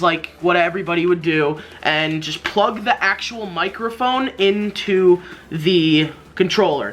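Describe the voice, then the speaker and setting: Bright-voiced young man, casual tutorial host. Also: high voice, a little hiss or hum. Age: young adult. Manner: informal, rambling, slangy. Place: small bedroom indoors.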